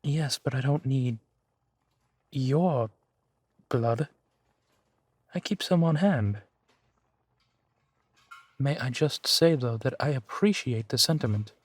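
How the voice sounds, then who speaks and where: Slow-spoken, courteous gentleman vampire, acted by a man. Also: mid-range voice, animated, husky, no echo. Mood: apologetic, courteous. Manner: formal, polite, measured phrasing with soft sighs. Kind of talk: intimate roleplay audio drama.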